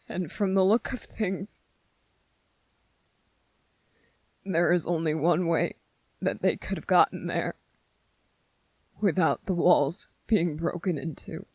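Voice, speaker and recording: A woman voicing an anxious, weary young male anime soldier in a halting ASMR roleplay monologue, pausing and repeating words. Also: medium pitch, no room sound. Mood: troubled, disbelieving.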